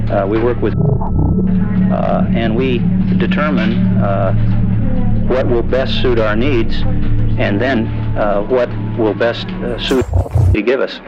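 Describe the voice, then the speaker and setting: A man explains things in a stumbling way, full of uhs and restarts. The broadcast sound is dull and muffled, with loud music over it. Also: mid-range voice. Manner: stumbling, filler-heavy explaining. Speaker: interviewee, telephone official.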